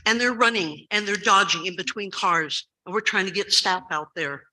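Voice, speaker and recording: An older woman in her seventies speaks with a very bright, sharp tone and a loud voice that carries. She tells her story with urgency, leaning hard on repeated words. Her voice sits at a middling pitch. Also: normal speed, poor audio. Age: 70s. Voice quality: loud, carrying voice. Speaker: school recess staff member giving public comment. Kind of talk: public comment at a school board meeting.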